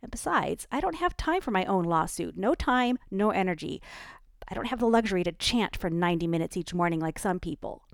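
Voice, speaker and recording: A woman's voice: mid-range voice, very animated, a little slow, gravelly, no echo, studio quality, voice pushed up. A woman voicing Ellie, a defensive, fiery young mother, in an audiobook reading. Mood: heated. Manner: heated, pleading then hushed and contrite.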